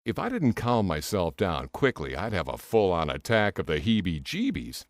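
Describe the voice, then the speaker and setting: Guy narrating a funny audiobook, lowish voice that swoops all over, very dry close sound. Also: moderate pace, dry recording. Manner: theatrical, expressive delivery. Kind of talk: dramatic first-person audiobook narration of a comic novel.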